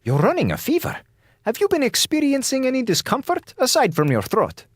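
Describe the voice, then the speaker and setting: An actor playing a clinical, pedantic male doctor on a space station in a radio drama, clipped, formal and stern, with a husky voice. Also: very high voice, huge pitch swings, fairly quick, no room sound, studio quality, heavily acted. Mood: concerned, commanding.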